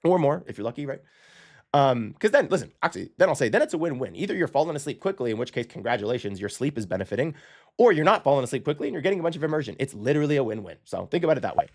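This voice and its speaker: A man talks through a language-learning lesson in a relaxed, conversational way, often pausing to ask "okay?" and correcting himself mid-sentence. His pitch sits in a middle range, and he moves along quickly. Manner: chatty, frequent "okay?" check-ins, self-correcting. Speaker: language-learning channel host. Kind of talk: casual explanatory lesson to camera.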